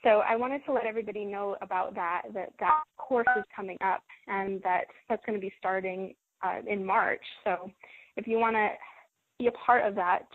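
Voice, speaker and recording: A woman hosting a self-help conference call, talking in a gentle, encouraging, measured way. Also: mid-range voice, husky, no echo. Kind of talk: coaching talk. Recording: phone line, dry and lo-fi.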